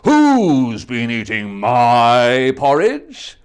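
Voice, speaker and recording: A man voicing a big, stern father bear in a storybook reading, slow and deep. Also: wildly swooping pitch, clear, resonant tone, heavily acted.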